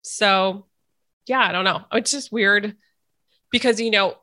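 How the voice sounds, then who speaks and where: A woman's voice: mid-range voice, slow, sharp tone. A woman hosting a casual interview podcast, chatty and hesitant with many fillers and restarts, a little stuffy from a cold. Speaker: podcast host.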